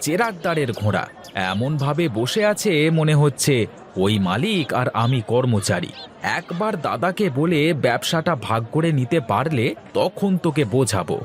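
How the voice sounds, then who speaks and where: A man acts out a scheming worker in a cartoon story. He is bitter and resentful, speaking at an ordinary male pitch. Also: sing-song, normal speed, soft background music, close to an everyday voice. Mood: resentful muttering. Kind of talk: Bengali cartoon dialogue.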